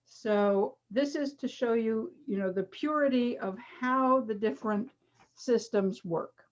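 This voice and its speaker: A woman's voice: on the high side, measured pace. A middle-aged woman teacher explaining a reading demonstration in a friendly, casual way with many fillers. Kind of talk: casual online lecture. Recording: dull lo-fi video call audio.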